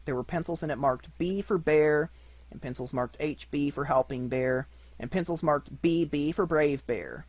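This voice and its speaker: A man reading a children's audiobook in an expressive narration style. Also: fairly high pitch, normal speed, no room sound. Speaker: audiobook reader.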